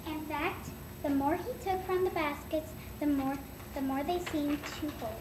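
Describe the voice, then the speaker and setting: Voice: high voice, unhurried. A child of about eight or nine reading a story aloud as a narrator in a school readers' theater. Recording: echoey noisy room.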